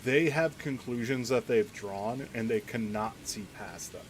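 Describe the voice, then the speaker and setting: Casual male game streamer, mid-pitched. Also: unhurried, slight background noise, poor audio. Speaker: streamer commenting on a game story. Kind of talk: casual gameplay commentary.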